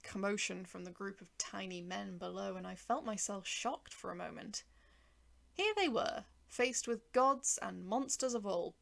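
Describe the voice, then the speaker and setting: A woman's voice: mid-range voice, gravelly, a bit bright. A woman narrating a dramatic first-person short story.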